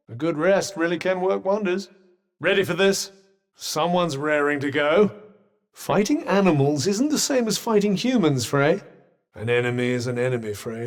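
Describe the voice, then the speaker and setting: A man's voice: on the high side, animated, moderate pace, big-room sound, lightly acted. An actor voicing a sardonic male magic bracelet companion in a game, with dry, witty, teasing quips. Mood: wry. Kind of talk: game voice lines.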